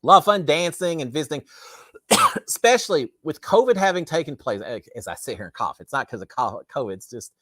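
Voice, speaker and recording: A middle-aged man hosts a relaxed livestream, reading out viewer questions and chatting back. His voice is a bit raspy from overuse, and his pitch rises and falls a lot as he rambles through restarts and fillers. The sound is close and dry, with no room echo. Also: brisk. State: slightly raspy, tired throat. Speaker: livestream host. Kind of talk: casual live chat answering viewer comments.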